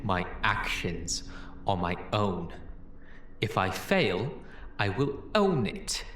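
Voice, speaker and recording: A man playing a guilt-ridden, defiant time-travelling doctor in an audio drama, earnest and halting in delivery. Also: lowish voice, very animated, fairly bright tone, reverberant, a little hiss or hum, exaggerated voice. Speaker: lead actor in fan audio drama. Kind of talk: dramatic scripted fan audio acting.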